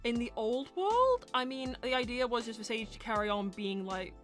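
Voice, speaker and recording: Woman, fairly high voice, chatty livestream host, casual question-and-answer delivery, music behind. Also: animated, normal speed, a bit bright. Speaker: host of a fan series livestream Q&A. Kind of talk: casual livestream chat, reading and answering viewer messages.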